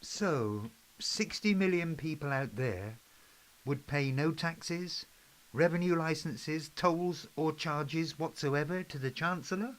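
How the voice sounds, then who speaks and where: A man in an amateur play playing a doubtful questioner who seeks reassurance, with deliberate scripted delivery. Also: fairly high pitch, low-quality recording. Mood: curious. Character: doubtful male questioner seeking reassurance about economic changes.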